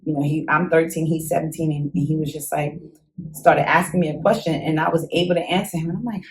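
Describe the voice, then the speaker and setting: A young woman talks through her childhood memories in an easygoing interview. She is animated, laughs often and acts out what she felt back then. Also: sits low, fast talker, rough recording. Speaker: interview guest. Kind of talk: casual conversational storytelling.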